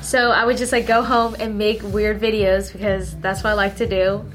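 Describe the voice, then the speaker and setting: A woman's voice: mid-range voice, level tone, normal speed, a bit bright, soft background music. A young woman in her late teens, a social media creator, chatting casually as an interview guest and filling her talk with "like". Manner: chatty, lots of "like" fillers, upbeat.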